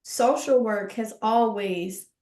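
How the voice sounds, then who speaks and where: A woman co-hosting a scholarly podcast, talking reflectively and emphatically in a conversational way, often halting and repeating words for emphasis. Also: fairly high pitch, darkish tone, reverberant.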